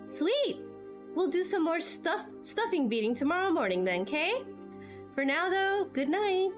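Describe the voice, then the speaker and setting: Game role: girlish young female fighter, played by an amateur actress. High voice, perky, friendly, informal; music underneath. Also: very animated, unhurried, exaggerated voice. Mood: playful, bubbly. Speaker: amateur voice actor playing through a game. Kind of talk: amateur game dialogue voice acting.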